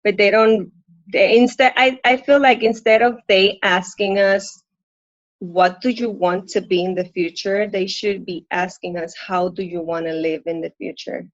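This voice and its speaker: A woman's voice: mid-range voice, steady tone, moderate pace, no echo. A woman from Puerto Rico with a Puerto Rican accent, Spanish her first language, talking earnestly and hesitantly with many restarts in a coaching session. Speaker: coaching client, working mom. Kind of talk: live coaching conversation. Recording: dull lo-fi dry audio.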